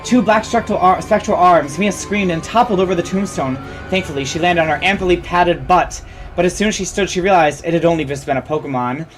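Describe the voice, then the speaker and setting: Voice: medium pitch, a little fast. A host reading a comedic story aloud theatrically, with asides and self-corrections. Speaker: fan fiction reader and host. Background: music.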